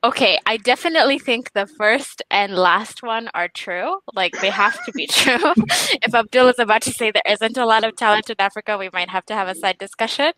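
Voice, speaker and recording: A woman from Kenya, an investment director speaking on a panel, upbeat and quick to laugh. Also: on the high side, sharp tone, rough recording. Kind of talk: panel discussion.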